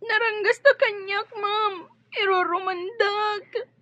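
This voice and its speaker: A woman playing a frightened, abused young servant girl in a radio drama, pleading haltingly and crying. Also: extremely high pitch, fairly even intonation, pushed and bright, no room sound, full character voice. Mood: tearful, pleading. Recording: dull, dry studio sound.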